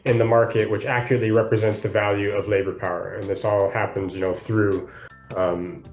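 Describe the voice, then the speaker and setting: A man's voice: on the low side, little pitch movement, a little fast. A man co-hosting a podcast, explaining a book in a casual, hedging conversational way. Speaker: podcast co-host; freelance video editor. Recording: roomy echo, faint music underneath.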